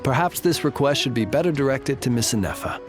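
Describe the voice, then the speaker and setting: A composed video game character with an extremely low voice for a woman. The manner is formal, courteous and flattering, with music clearly behind. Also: brisk. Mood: courteous, calm.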